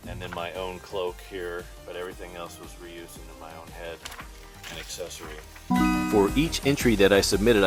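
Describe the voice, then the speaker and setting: A middle-aged man giving a casual, rambling commentary on artwork in an informal, halting, self-deprecating way. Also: low voice. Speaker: artist showing his portfolio. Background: background music.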